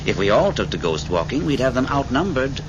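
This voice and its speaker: A male actor plays a knowing old-radio ghost mentor, theatrical and fond of drawing out his phrases. The pitch sits in an ordinary male range. The sound is dull and aged, with loud road rumble over it. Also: sing-song, fairly quick, lightly acted. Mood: wry, explaining. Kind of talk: old-time radio drama acting. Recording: muffled old radio recording, loud vehicle noise.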